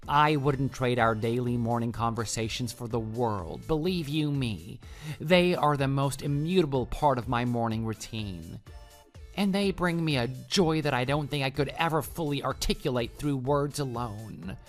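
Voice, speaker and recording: A man's voice: mid-range voice, moderate pace, close to an everyday voice. An actor playing a clingy, lovestruck male coworker in a radio drama, with a flowery, gushing manner that turns stammering when flustered. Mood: gushing, lovesick. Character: clingy, lovestruck male office coworker who can't take hints. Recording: voice over background music.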